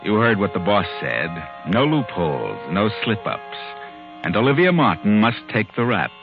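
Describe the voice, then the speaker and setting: An actor playing a taunting inner voice that goads a killer, deliberate and insinuating, in 1940s radio thriller style. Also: male voice, lowish voice, sing-song, muffled recording, music in the background. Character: taunting male inner voice goading a murder planner.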